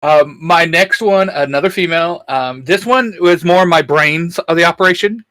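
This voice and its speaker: A man's voice: on the high side, moderate pace, bright, forceful tone, dry recording. A man co-hosting a geeky discussion show, talking casually as a fan. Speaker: co-host of a geek-culture discussion show. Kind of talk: casual fan chat about comics.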